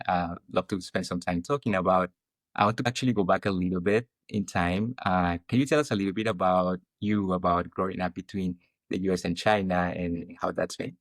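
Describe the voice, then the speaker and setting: A man's voice: sits low, no echo. A man hosting a podcast in a conversational interview style, sounding enthusiastic and welcoming. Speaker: podcast host.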